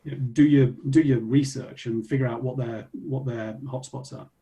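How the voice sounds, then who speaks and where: Man, webinar panelist, mellow dark voice, measured, advisory. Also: medium pitch, fairly even intonation, vocal fry.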